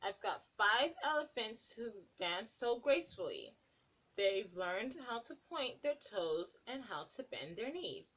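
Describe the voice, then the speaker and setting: A preschool teacher reads a picture book aloud in a sing-song way, her voice rising and dipping a lot. She pitches slightly high and keeps stopping to ask the children what comes next. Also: big pitch swings, measured pace, fairly bright tone, low-quality recording. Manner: sing-song, asks children questions between pages.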